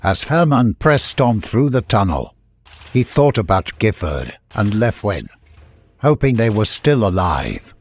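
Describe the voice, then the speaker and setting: A man's voice: fairly low pitch, sing-song, a little slow, husky, faint music behind. A man giving a dramatic scripted narration of an adventure story as an audiobook narrator.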